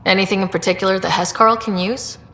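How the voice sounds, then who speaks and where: A woman playing a helpful, wry young space explorer in video game dialogue, talking in a steady conversational way. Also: mid-range voice, quick pace, roomy sound, in a large hall, slight background noise, lightly acted. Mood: questioning, steady.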